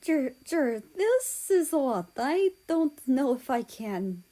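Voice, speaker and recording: A woman voicing a soft-hearted, hesitant man who loves his dog, in a story reading. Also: sits high, very animated, takes it slowly, voice pushed up, heavily acted. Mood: hesitant, overwhelmed.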